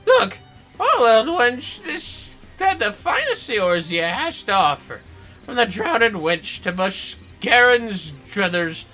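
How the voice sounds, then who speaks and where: A man voicing a greedy, boastful old treasure hunter from a video game, with theatrical piratical bluster and drawn-out words. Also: very high-pitched, sharp tone, voice pushed up, heavily acted. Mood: excited, grasping.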